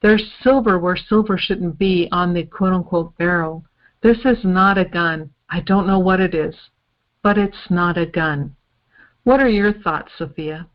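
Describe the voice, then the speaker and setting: A woman playing a methodical forensic investigator in an old time radio style detective drama, explaining evidence in a deliberate way. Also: on the low side, highs cut off, natural voice. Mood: explanatory, assured.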